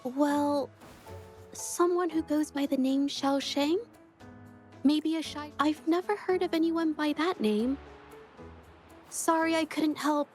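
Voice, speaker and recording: Shy young female artist for a game: high, sweet, stammering, apologetic, easily flustered, soft music underneath. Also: slow pace, pauses often. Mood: flustered, timid. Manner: hesitant, stammering, self-effacing. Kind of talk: video game character dialogue.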